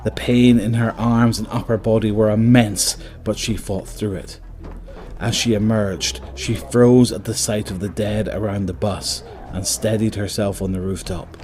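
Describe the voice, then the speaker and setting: Low-voiced man narrating a story. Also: fairly even intonation, unhurried, darkish tone. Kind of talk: scripted dramatic story narration.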